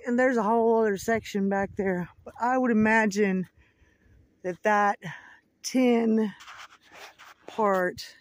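A woman's voice: mid-range voice, a little mellow, no room sound. A woman vlogging casually outdoors by a rural winter road, filming on her phone and chatting to viewers as y'all. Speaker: travel vlogger. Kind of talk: casual narrated road trip vlog. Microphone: phone camera held by the filmer.

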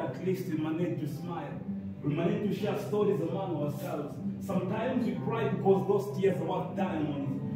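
A young Kenyan man with a Kenyan accent performing spoken word poetry with a rhythmic, declamatory delivery to a seated audience. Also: high-pitched. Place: indoor community hall with seated audience. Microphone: phone camera from the audience, far off. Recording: echoey hall from far off, music behind.

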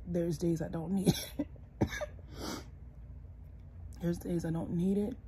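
A young woman lies in bed speaking into a close phone camera, her voice congested and hoarse. She talks slowly and wearily in a low, mellow tone, often dropping toward a whisper. A faint hiss sits under the quiet bedroom. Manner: tired, soft-spoken, sometimes dropping to a whisper. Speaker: vlogger. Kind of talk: casual personal vlog. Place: bedroom, lying in bed indoors. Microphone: phone camera close, held low.

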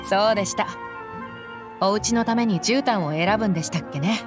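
A woman's voice: medium pitch, fairly quick, lightly acted. Anime game role: young female desert mercenary woman actor, blunt and tomboyish with a reflective heart, light music underneath. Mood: light, grateful. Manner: rough, tomboyish casual speech. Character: tough, frank young female desert mercenary, wistful, loyal. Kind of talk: dramatic anime-style game dialogue. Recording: music behind the voice. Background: background game music.

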